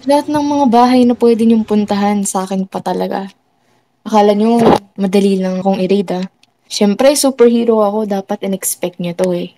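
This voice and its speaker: A woman's voice: medium pitch, normal speed, dark tone, a little hiss or hum, natural voice, low-quality recording. A woman playing a gloating fake superhero with evil plans in a game roleplay, smug and taunting. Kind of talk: scripted game roleplay acting.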